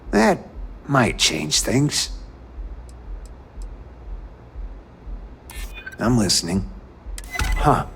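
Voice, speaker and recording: An actor playing a tough, threatening corporate enforcer in a video game, terse and commanding, with a rough husky voice. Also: male voice, mid-range voice, fairly bright tone, heavily acted. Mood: grudging, warning. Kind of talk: video game dialogue acting.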